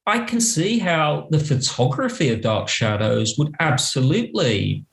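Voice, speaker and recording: A man's voice: a little high, sing-song, a little slow, gravelly, fairly bright tone, slight background noise. A man speaking as a podcast guest, discussing film conversationally in an articulate, opinionated way with emphatic phrasing. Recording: roomy, echoing sound.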